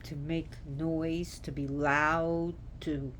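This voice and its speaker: A woman at home slowly talking an online audience through old lore, feeling for each word, with noise behind her. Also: deep voice, level tone, fairly bright tone. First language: not Gaelic. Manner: halting, searching for words. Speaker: presenter explaining names of a Celtic deity. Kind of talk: informal unscripted lecture. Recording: clear background noise.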